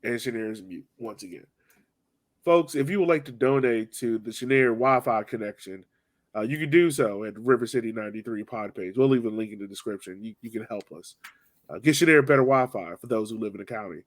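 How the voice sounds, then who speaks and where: A man's voice: mid-range voice, a little fast. Man chatting casually about sports. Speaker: podcast host. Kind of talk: casual sports podcast chat, match recap.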